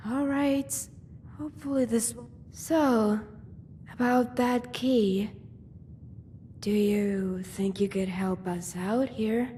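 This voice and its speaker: A woman's voice: on the high side, fairly even intonation, takes it slowly, pauses often, mellow, slight background noise. A woman playing a practical, guarded adventuring companion in a video game, speaking casually and matter-of-factly. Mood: impatient. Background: music.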